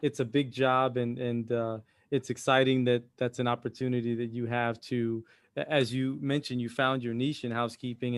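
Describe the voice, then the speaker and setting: A man hosting an interview podcast in a conversational, somewhat halting way, often repeating words. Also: medium pitch, dry recording, studio quality. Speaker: podcast host.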